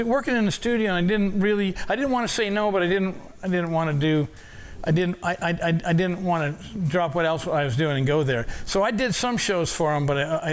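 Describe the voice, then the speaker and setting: Casual older guy, audio engineer type, reminiscing, lots of restarts and repeats, slightly high pitch. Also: clean tone. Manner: rambling, many restarts and repeated words. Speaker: audio engineer telling stories. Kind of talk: casual storytelling talk.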